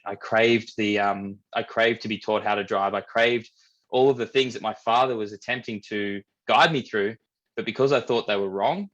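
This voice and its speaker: A young Australian man from New South Wales, a men's coach, telling his life story in a relaxed, candid way and often repeating words as he thinks. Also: lowish voice. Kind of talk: casual storytelling interview.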